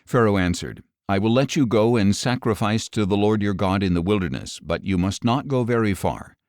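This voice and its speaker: A man reading scripture as an audiobook narrator, in a measured, solemn manner with clear enunciation. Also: fairly low pitch, expressive, darkish tone, dry recording, clean studio sound.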